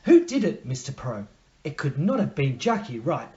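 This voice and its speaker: Casting: male actor as gentle recovering husband, varied intonation, muffled drama recording. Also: medium pitch, sing-song, normal speed, natural voice. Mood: earnest. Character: convalescing, forgiving young husband, earnest and subdued. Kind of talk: amateur audio play acting.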